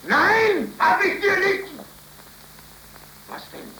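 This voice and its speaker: A harsh, high-pitched raging father. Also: very animated, drawn-out words, bright, forceful tone, heavily acted. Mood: furious. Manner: harsh, scolding, commanding. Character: stern, bullying working-class father, quick to rage. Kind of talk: 1930s German radio play acting. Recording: noisy, roomy 1930s radio recording.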